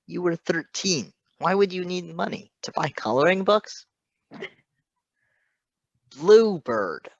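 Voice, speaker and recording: A man's voice: high-pitched, very animated, takes it slowly, creaky, pauses often, dry recording, dull audio, voice pushed up, full character voice. A man voicing a protective, fatherly, emotional male superhero in a read-aloud story, earnest and insistent. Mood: scolding.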